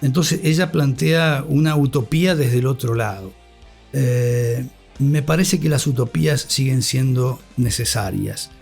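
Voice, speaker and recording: An Argentine man speaking thoughtfully as an interview guest and radio scholar, with deliberate delivery and a formal, learned vocabulary. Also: mid-range voice, gravelly, a little mellow, slightly rough, faint music behind.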